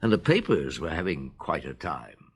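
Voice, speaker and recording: A reflective detective muses over a case in a radio drama. His voice is very deep, and his pitch swings widely as he thinks aloud. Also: sing-song, a little hiss or hum, full character voice. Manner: musing, measured. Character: reflective veteran detective narrating.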